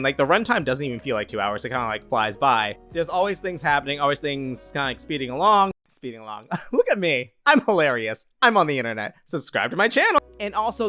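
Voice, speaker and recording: A man with a fairly high voice and very lively, swooping intonation gives a casual video review. He talks straight to viewers in a slangy, playful way, leaning hard on words for emphasis, with light music in the recording. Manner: animated, exaggerated emphasis, playful slangy asides. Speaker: YouTube movie reviewer.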